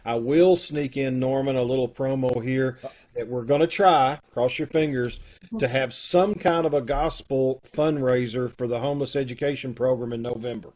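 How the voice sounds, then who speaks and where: A man's voice: mid-range voice, steady tone, moderate pace, darkish tone. A middle-aged man hosting from home on a video call, announcing and chatting casually. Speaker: host introducing a live radio troupe show. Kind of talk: casual live announcing and chat.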